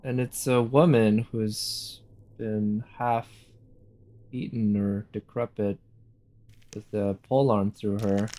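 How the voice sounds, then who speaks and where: A man's voice: on the low side, steady tone, slow pace. A man giving casual live commentary on a video game, thinking aloud and often questioning what is in front of him. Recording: game music behind the voice. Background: loud video game music.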